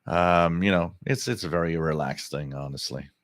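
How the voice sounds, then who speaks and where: A French Canadian man, French his first language, hosts a live radio stream in a low, deep voice. He rambles through strong opinions, full of fillers and restarts. The sound is dry and close. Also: moderate pace, dry recording. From Quebec, Canada. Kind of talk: live stream chat reading viewer comments.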